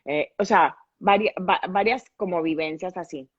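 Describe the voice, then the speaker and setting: A woman's voice: fairly low pitch, moderate pace, slightly rough, no echo, lo-fi sound. A woman with a Colombian accent speaking reflectively as an interview guest, explaining her thoughts and checking in with her listener. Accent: Colombian Spanish. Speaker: podcast guest and grief facilitator. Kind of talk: conversational interview answers.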